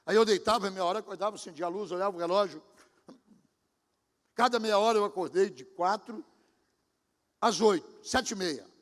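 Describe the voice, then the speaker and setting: A man's voice: high voice, fairly bright tone. A pastor in his sixties giving a sermon, talking conversationally and speaking directly to his congregation. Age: 60s. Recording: long hall reverb.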